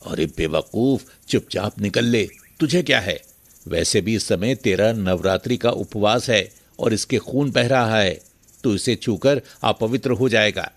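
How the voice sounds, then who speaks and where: A callous rich village farmer voiced by a man in a cartoon moral tale. His voice sits fairly low and has a husky rasp. He talks in a gruff, scornful, dismissive way. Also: unhurried. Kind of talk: moral story cartoon dialogue.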